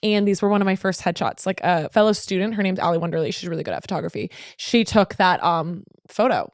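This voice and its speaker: Young woman, casual podcast chat, rambling, deadpan humor, dry studio sound. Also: lowish voice, quick pace, no room sound. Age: young adult. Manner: rambling, filler-heavy, deadpan jokes. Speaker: podcast guest.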